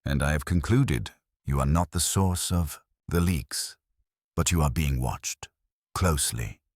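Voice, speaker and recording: A man's voice: very deep voice, unhurried, no room sound, studio quality. A man voicing a stern, coolly angry woman investigator in a narrated story, terse and guarded. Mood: quiet warning.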